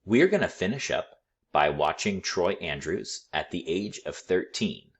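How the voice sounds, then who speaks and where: A man reading a picture book aloud to children in an expressive, teacherly way. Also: low voice, measured pace, breathy edge. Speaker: school read-aloud storyteller.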